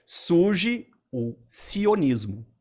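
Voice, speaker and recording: A Brazilian man on a podcast, explaining history in a lively storytelling way and acting out quotes. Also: very slow. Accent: Brazilian Portuguese. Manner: lively storyteller, animated swings in pitch, acts out quotes.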